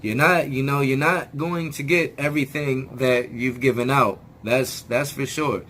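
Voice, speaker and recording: A man talking straight to his viewers in a rambling self-help vlog, often restarting words and swearing casually, his voice still hoarse as it comes back. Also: medium pitch, fairly even intonation, measured pace, a bit bright, slight background noise. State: hoarse, regaining lost voice. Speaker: video channel host.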